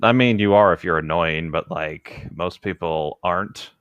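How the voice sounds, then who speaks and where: A man with a low-leaning voice reads aloud in a relaxed, casual style. He drops in dry, teasing remarks, and the sound is tight with hardly any room on it. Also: moderate pace, no room sound, lo-fi sound. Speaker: co-host of a comedic read-along. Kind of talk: casual reading aloud with offhand commentary.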